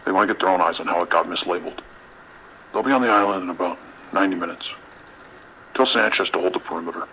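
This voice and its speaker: A man playing a commanding, skeptical police lieutenant in an audio drama, brisk and authoritative. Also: sits low, bright, forceful tone, low-quality recording. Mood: decisive. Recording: rain and radio noise behind.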